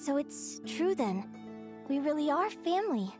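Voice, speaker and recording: A woman playing an earnest, stubborn young fae in a video game, expressive and insistent. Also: sits high, a little slow, over music. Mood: quietly wondering.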